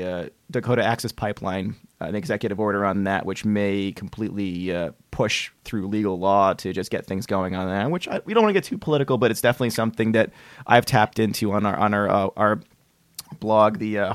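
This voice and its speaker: A man's voice: on the low side, no room sound. A man hosting a podcast in casual chat, hesitant and repeating words as he searches for phrasing. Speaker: podcast host.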